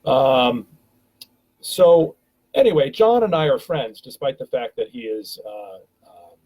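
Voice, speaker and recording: A man's voice: medium pitch, very animated, slow pace, darkish tone. A man hosting a live stream, talking casually and rambling with many fillers and restarts, from a home webcam.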